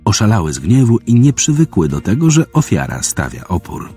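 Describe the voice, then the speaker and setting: A man's voice: on the low side, huge pitch swings, a little fast, warm tone, husky, soft background music. A man narrating a fantasy audiobook in a dramatic, scripted storytelling style.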